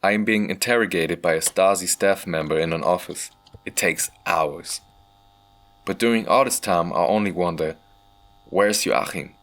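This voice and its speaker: A man reading a scripted first-person story as a motion comic narrator, measured and earnest. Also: deep voice, a bit bright.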